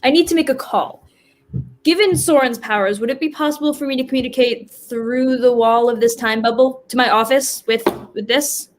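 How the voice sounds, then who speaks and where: High-pitched exasperated woman acting as Death. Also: normal speed, noisy background, natural voice. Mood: earnest. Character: Death as Cricket, exasperated reluctant woman reaper with office job. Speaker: actor in a community theater reading. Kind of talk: virtual staged play reading.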